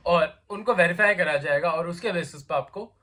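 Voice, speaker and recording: A man's voice: a little high, moderate pace, sharp tone. A man hosting a job vacancy video, explaining in casual Hindi mixed with English, with a sore throat and an occasional cough. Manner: chatty, repetitive fillers, conversational address to viewers. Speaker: job vacancy channel host.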